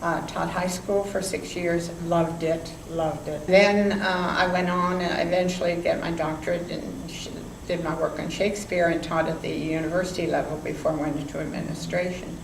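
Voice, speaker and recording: An older woman, a former teacher, recounting her career in a measured, lightly humorous way. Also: on the low side, level tone, creaky. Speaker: retired educator, interviewee. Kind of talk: interview storytelling. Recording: roomy sound, mic at a distance, faint noise.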